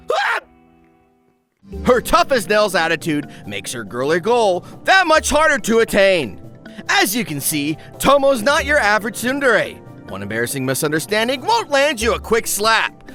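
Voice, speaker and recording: A man hosting an anime review with energetic, scripted comedic narration, delivered in a hammy, exclamatory manner. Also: very high voice. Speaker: YouTube anime reviewer and host.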